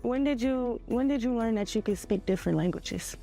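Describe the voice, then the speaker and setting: Mellow-voiced teenage girl interviewing. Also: fairly high pitch, brisk, vocal fry, soft background music. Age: late teens. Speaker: student interviewer. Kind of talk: scripted intro and interview questions.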